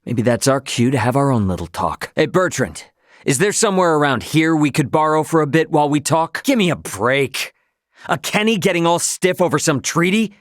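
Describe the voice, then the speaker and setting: A man's voice: fairly high pitch, expressive, moderate pace, no room sound, studio quality, lightly acted. An actor playing a brash, informal young starship captain, a cocky but loyal man, in a video game. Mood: reassuring, confident, then irritated, incredulous. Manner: informal, slangy, plain-spoken. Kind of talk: English game dub character dialogue.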